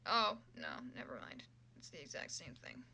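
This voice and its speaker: A woman's voice: low voice, sing-song, normal speed, gravelly, pushed and bright, slight background noise. A woman livestreamer chatting casually and reacting as she browses, with a sweary, exclaiming, self-deprecating manner. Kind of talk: casual livestream chat, reacting to fan fiction.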